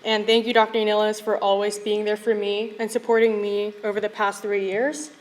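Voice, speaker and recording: A young woman in her late teens, a graduating student, giving a measured, reserved speech at a podium microphone. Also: medium pitch, level tone. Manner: soft-spoken, reserved, measured. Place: school event hall.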